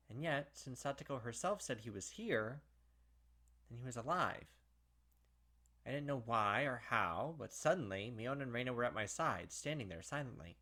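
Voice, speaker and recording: Man narrating dramatically, with casual asides. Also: medium pitch. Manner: expressive, sweary asides. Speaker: gaming video host. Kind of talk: dramatic read-aloud narration with casual commentary.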